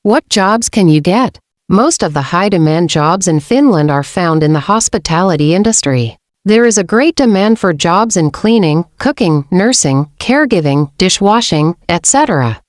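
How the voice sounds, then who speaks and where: A woman hosting a channel, reading an informational script in a steady, measured way. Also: fairly low pitch, no room sound, clean studio sound. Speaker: channel host.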